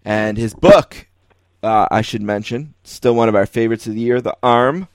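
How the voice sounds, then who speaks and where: A man's voice: medium pitch, normal speed, clean tone, no room sound. An American man, middle-aged, hosting a sports podcast with casual, conversational interviewing.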